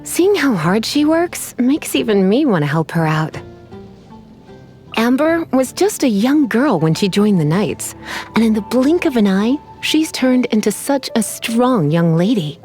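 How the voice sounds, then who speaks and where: Sultry, teasing sorceress, lilting voice. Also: female voice, fairly high pitch, big pitch swings, darkish tone, faint music behind. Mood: playful, coy. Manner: sultry, teasing, unhurried, coy. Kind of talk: video game character voice lines.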